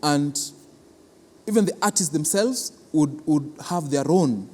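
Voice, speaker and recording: A man with a Kenyan accent explains things as an interviewed official, speaking haltingly and repeating his words. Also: fairly high pitch, lively intonation, warm tone. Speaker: cultural institution official being interviewed. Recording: reverberant hall with clear background noise.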